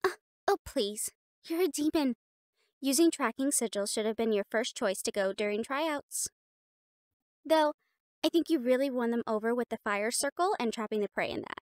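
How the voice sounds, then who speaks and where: A woman's voice: a little high, expressive, normal speed, no echo, studio quality, natural voice. A woman voicing a snobby, catty teenage catgirl cheer captain in an audio roleplay, talking in a haughty, teasing and bossy way.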